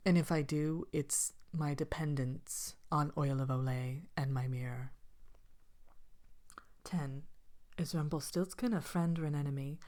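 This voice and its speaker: A wicked, vain fairy-tale queen played by a woman speaks in a low, hushed voice that often slips into a whisper. She is haughty and languid, with dry wickedness, hosting an ASMR question-and-answer monologue. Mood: vain, amused, then smug, dry. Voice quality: soft-spoken, often breaking into whisper. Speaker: character host of an ASMR show.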